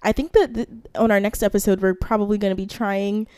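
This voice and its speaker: A woman's voice: mid-range voice, steady tone, a little fast, darkish tone, smooth, no room sound, studio quality. A young woman co-hosting a casual podcast chat, talking through a head cold and laughing often. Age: young adult. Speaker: podcast co-host.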